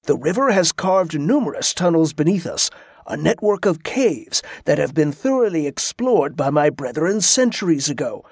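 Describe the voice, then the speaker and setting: Narrator doing a calm formal monk, measured, dry close sound. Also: male voice, on the high side, creaky, breathy edge, dry recording, full character voice. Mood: explaining.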